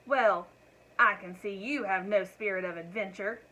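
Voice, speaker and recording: A woman voicing a hot-tempered male farmer who yells and grumbles gruffly. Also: mid-range voice, normal speed, rough recording. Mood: grumpy. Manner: yelling, gruff.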